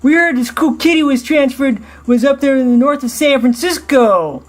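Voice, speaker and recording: High-pitched surfer-dude lion with a Valley accent. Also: male voice, moderate pace. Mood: chill, chatty. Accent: Los Angeles Valley. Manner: slangy, drawling, very high falsetto. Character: laid-back young male lion, surfer dude.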